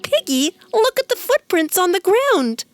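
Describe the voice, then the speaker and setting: A gentle young princess from a children's cartoon fairy tale, acted by a woman. Her voice is very lilting and high, with slow, reassuring delivery. She sounds kind and helpful. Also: sing-song, soft background music, full character voice. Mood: excited. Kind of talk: animated fairy tale voice acting.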